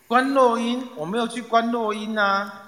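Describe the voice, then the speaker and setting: A man's voice: very high-pitched, fairly even intonation, normal speed, bright, forceful tone. A man playing a cheeky, baffled tour guide in an audio drama, teasing and exclaiming in Taiwanese-accented Mandarin. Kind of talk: live audio drama acting. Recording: echoey, reverberant online audio room.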